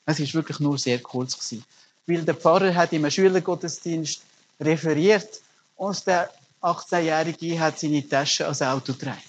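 Man, pastor, earnest sermon storytelling, fairly high voice, faint background hum. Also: moderate pace. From Germany.

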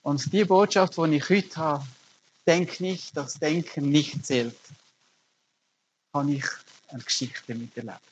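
A man's voice: a little high, takes it slowly, pauses often, lo-fi sound, slight background noise. A middle-aged man, preaching a sermon as a pastor and telling stories earnestly with emphatic rises. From Germany.

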